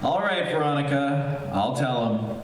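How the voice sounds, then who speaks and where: A man's voice: mid-range voice, lively intonation, unhurried, natural voice. A man playing a flustered, henpecked middle-aged father in an old-time radio comedy. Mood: meek, flustered. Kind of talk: 1940s radio comedy acting. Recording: echoey, distant stage recording.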